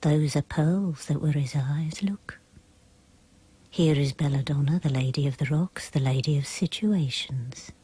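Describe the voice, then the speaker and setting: Imperious tarot clairvoyante for radio poetry drama: low, very husky, slow and ominous. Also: female voice, warm tone, exaggerated voice. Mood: hushed, mysterious. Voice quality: husky, breathy. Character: imperious fortune-telling clairvoyante reading tarot cards.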